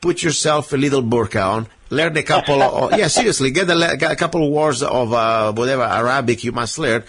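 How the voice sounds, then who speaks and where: A man's voice: mid-range voice, fairly quick, few pauses, a bit bright. A man from Italy with an Italian accent, hosting a political radio talk show and speaking emphatically, with many "uh"s and "you know"s. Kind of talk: opinionated political radio chat.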